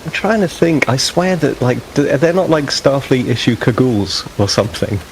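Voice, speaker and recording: A man's voice: medium pitch, animated, normal speed, poor audio. A man casually chatting as a player in a tabletop roleplaying game, describing what his character does. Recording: clear rain noise, clicks.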